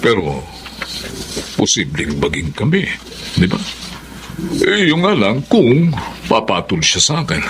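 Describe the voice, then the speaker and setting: Shy older boss in love, voiced by a man: hesitant, animated pitch, noisy backdrop. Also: unhurried, pauses often. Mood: wistful. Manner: hesitant, stammering, tender. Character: older male factory boss, shy and lovestruck. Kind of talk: Tagalog radio-style drama acting.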